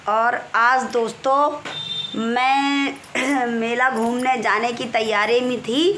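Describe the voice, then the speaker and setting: A woman in her forties with a Kanpur Hindi accent, hoarse and husky, chatting in a rambling way into a phone held close in a small room at home, clearing her throat often. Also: fairly high pitch, fairly even intonation, slow, background noise. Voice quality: husky, strained voice. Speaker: vlogger. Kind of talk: casual home vlog. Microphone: phone camera held close, selfie framing.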